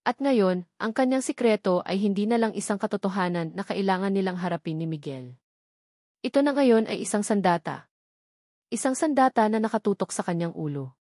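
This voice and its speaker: A woman reading a scripted romance drama story in a solemn, measured, suspenseful way. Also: medium pitch, darkish tone, dry recording, studio quality.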